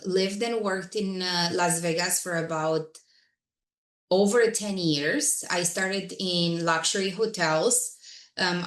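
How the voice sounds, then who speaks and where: A woman's voice: on the low side, a bit bright, reverberant. A woman from Romania, a guest telling her career story in an interview with frequent laughs. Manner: reflective, laughs often.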